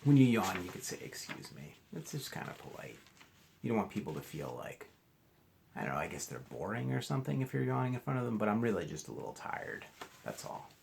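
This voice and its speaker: Animated man reading to children, creaky voice. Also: on the low side, fast, slight background noise. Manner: expressive, addresses young listeners directly with questions. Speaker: children's storybook reader, teacher. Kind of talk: animated read-aloud with chatty asides to children.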